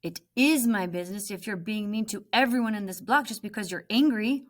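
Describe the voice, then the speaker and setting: A woman's voice: medium pitch, brisk, natural voice. A woman voicing a defiant, stern young refugee woman from a storybook, firm and scolding.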